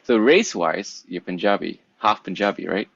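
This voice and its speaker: A man in his thirties from Singapore, co-hosting a casual podcast chat, playful and quick to laugh as he asks questions. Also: sits low, vocal fry, fairly bright tone, dry recording, lo-fi sound. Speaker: podcast co-host.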